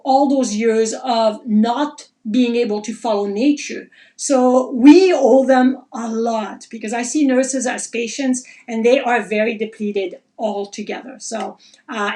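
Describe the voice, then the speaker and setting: A woman with a fairly high voice teaches a live online class on Chinese medicine. She talks casually and with energy, chatting to her viewers and reading out their comments as she goes. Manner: lively, animated, reads viewer comments aloud. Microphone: livestream.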